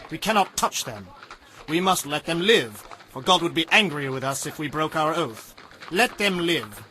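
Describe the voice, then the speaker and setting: A man playing a stern, commanding ancient Israelite leader in a dramatized audio Bible, forceful and declamatory. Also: fairly high pitch, very animated, moderate pace, a little hiss or hum. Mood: firm, solemn.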